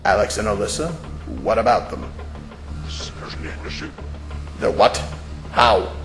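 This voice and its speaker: A man playing a cold, scheming corporate scientist in an audio drama, measured, smug and condescending. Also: lowish voice, expressive. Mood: guarded, alarmed. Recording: roomy echo, music underneath.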